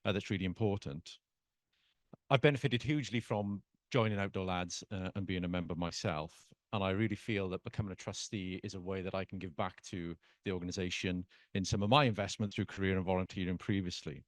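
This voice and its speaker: A man's voice: sits low, fairly even intonation, a little fast, no room sound. South Welsh man giving a speech. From South Wales. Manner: earnest, with frequent "uh" fillers. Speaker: trustee candidate. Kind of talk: live speech at a meeting.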